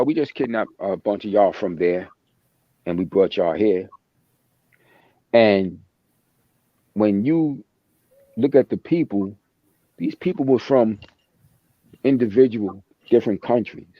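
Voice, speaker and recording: Deep voiced guy going off on a point, repeats himself a lot, dry muffled mic. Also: dry recording. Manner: passionate, emphatic, repeats himself. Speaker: podcast host. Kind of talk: casual chat. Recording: dry, dull lo-fi sound.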